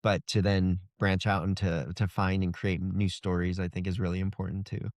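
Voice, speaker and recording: A man with a low-pitched voice talks casually as an interview guest. His delivery is conversational and loose, as if thinking aloud. The sound is dry, with very little room. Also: flat delivery, moderate pace, a little mellow, no room sound. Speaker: guest author.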